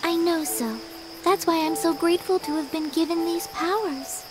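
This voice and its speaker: A woman playing a gentle, selfless, gravely ill teenage girl in a video game cutscene, soft-spoken and earnest. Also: very high voice, moderate pace, background noise.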